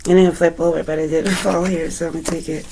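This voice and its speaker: A woman with a raspy, recovering voice giving a relaxed, chatty tarot reading at home, with many fillers. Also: low voice, fast talker, background noise. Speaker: tarot reader. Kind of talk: casual tarot reading to camera.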